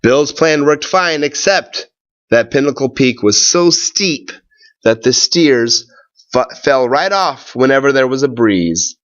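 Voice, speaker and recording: Expressive, slow-paced man reading a children's story. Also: mid-range voice, lo-fi sound. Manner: expressive, deliberate phrasing with drawn-out words and pauses. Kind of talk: read-aloud of a children's tall tale.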